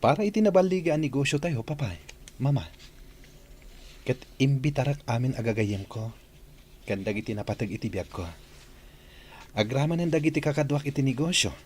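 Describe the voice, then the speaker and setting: Earnest, husky-voiced grown son. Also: male voice, mid-range voice, animated, brisk, pauses often, a little mellow. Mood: respectful, pleading. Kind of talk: radio drama acting.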